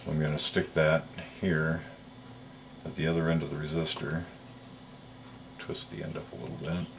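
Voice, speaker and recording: A man with a deep, low voice walks through a practical task one step at a time. He explains methodically and in detail as he works, like a patient how-to instructor. There is faint noise behind his voice. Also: level tone, normal speed, creaky. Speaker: electronics tutorial presenter. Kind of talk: step-by-step hands-on instruction, talking while working.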